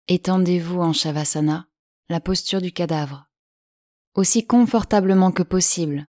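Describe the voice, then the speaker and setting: A woman guiding a yoga nidra relaxation in French, speaking slowly and calmly with long pauses. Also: fairly low pitch, no echo, clean studio sound. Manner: slow, calm, soothing delivery with long pauses. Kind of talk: guided relaxation instruction.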